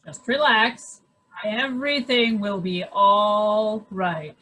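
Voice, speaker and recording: A woman plays a reassuring professional in a radio comedy, speaking very slowly and soothingly. Her voice is bright with a raspy edge. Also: medium pitch, low-quality recording. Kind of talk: old-time radio comedy acting.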